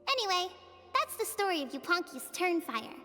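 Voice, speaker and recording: A woman's voice: extremely high pitch, very animated, moderate pace, sharp tone, big-room sound, faint music behind, exaggerated voice. A woman voicing an earnest young child from a tribe, telling legends in a video game. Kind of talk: animated game voice acting, storytelling.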